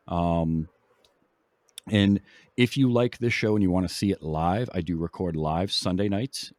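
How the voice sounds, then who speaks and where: A man with a fairly low voice hosts a podcast in a casual, conversational style. He talks through thanks and show plugs. The sound is dry, with little room in it. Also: darkish tone, no room sound. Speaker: podcast host.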